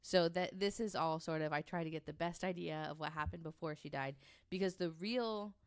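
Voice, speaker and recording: A woman's voice: low-pitched, brisk, clear, resonant tone, no echo, studio quality. A woman telling a researched story on a podcast in a casual, conversational way, with an informal, hesitant delivery.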